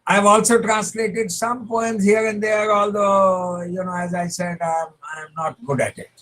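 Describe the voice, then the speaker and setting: A man with an Indian English accent speaks in a fairly high register, measured and modest as he talks about translating. He often restarts a word before going on. The sound is a video call. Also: flat delivery, fairly bright tone, poor audio. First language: Punjabi. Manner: measured, humble, with repeated restarts. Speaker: translator speaking at a web conference. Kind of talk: reflective conference talk.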